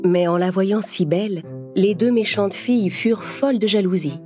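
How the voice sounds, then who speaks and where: A woman storyteller narrating a scripted fairy tale for children, expressive and clearly phrased. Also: mid-range voice, normal speed. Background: music.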